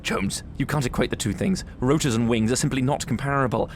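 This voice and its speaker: A man's voice: mid-range voice, brisk, close to an everyday voice. Pompous British male detective , played by an actor, snobbish and self-important, comic audio drama, faint noise behind. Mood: grandiose. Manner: pompous, grandiose.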